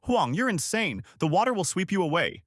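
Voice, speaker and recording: A man voicing an alarmed village uncle in a story, yelling urgent warnings. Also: sits high, big pitch swings, fairly quick, dry recording, studio quality, pitched above the performer's own voice, full character voice.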